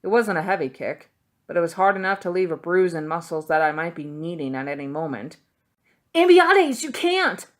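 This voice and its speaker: A woman's voice: low voice, steady tone, fast talker. A woman reading a novel aloud as scripted audiobook narration. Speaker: audiobook reader.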